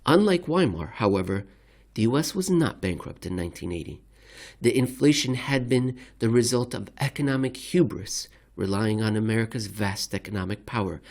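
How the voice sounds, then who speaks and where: A man narrating a scripted commentary with deliberate, somewhat theatrical phrasing. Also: fairly low pitch, gravelly, darkish tone. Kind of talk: scripted reading of economic commentary.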